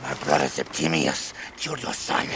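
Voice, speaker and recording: An actor playing a defiant, foul-mouthed captive villain, ranting and taunting, in a cinematic game. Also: male voice, extremely low pitch, very animated, moderate pace, gravelly, background noise, heavily acted. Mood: taunting, enraged.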